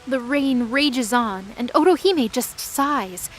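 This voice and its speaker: A woman's voice: a little high, expressive, takes it slowly, slight background noise. A woman reading scripted, dramatic story narration as the narrator of a fantasy drama.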